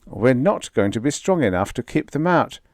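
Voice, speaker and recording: A man's voice: medium pitch, big pitch swings, normal speed, no echo, studio quality, voice pushed up, natural voice. A man voicing a fearful crewman who shrieks warnings in a science fiction story reading. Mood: alarmed.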